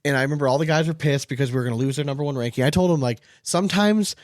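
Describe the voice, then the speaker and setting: A man's voice: fairly high pitch, rapid-fire, no room sound, studio quality. A man co-hosting a casual sports talk show, ranting with strong opinions and swearing freely. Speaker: podcast co-host, former team coach.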